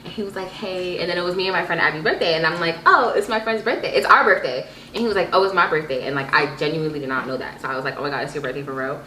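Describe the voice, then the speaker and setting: Young woman in her 20s telling stories, lowish voice, slangy and animated, bit of background noise. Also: very fast, few pauses. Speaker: vlog guest telling stories with sibling. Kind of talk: casual chat, storytelling. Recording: echoey room with background noise.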